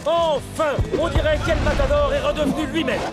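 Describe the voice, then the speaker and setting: A man playing an excitable football commentator in an animated cartoon. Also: very high-pitched, moderate pace. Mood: excited. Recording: loud music and crowd behind.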